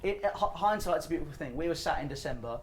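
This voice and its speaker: Fast-talking argumentative man. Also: on the high side, expressive, crowd noise. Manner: argumentative, loud, talks over others. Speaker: Chelsea fan pundit. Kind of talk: heated football debate.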